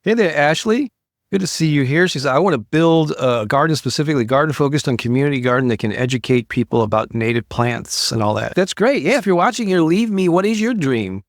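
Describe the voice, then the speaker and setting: A man's voice: fairly high pitch, animated, fairly quick, no echo, studio quality. An older man hosting a motivational talk for an online audience, speaking in a conversational, encouraging way and stressing key words. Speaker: host, motivational creativity coach.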